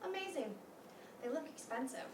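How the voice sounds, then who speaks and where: Female, high-pitched, actress as eager, earnest old friend in a comedy-drama reading. Echoey room, faint noise. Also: lively intonation, normal speed. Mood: awkward, friendly. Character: earnest, peacemaking old childhood friend, a grown woman. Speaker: stage actor. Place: meeting room used for a staged reading. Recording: roomy, distant mic, faint noise.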